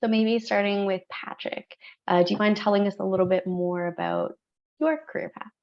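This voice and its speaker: A woman's voice: medium pitch, big pitch swings, normal speed. A woman moderating a webinar panel, thanking speakers and moving things along in an upbeat way. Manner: warm, upbeat thanks and transitions. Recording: dull lo-fi webinar audio.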